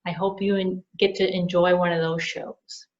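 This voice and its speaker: A woman, a children's librarian and puppeteer, telling a fairy tale to children in a gentle, expressive way, on a dull lo-fi recording. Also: fairly low pitch, normal speed. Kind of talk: storytelling for children.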